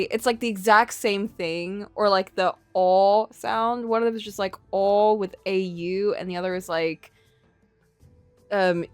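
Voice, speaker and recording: A woman's voice: mid-range voice, darkish tone, clean tone, no room sound. A woman casually livestreaming a visual novel, chatting and thinking aloud as she goes. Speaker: streamer playing a visual novel. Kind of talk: casual livestream chat and reading game text aloud.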